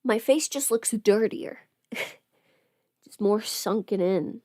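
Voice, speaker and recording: A woman's voice: mid-range voice, slow, mellow, no echo. A woman casually chatting on a livestream while doing her makeup, playful and absent-minded, muttering to herself in a close-miked voice. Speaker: livestream host, voice performer.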